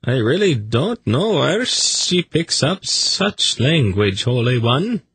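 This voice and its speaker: A man's voice: lowish voice, fairly even intonation, extremely slow, no room sound, voice pushed up. A man voicing a nervous, fawning snake keeper in an audiobook, pleading, apologetic and coaxing in manner. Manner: wheedling, apologetic, deferential.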